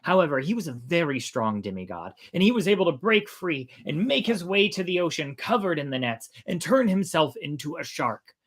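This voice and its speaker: Animated storyteller with a very lively pitch. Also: a little fast, few pauses, husky. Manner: playful, conversational. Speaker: storyteller and show host. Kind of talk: informal animated folk-tale storytelling.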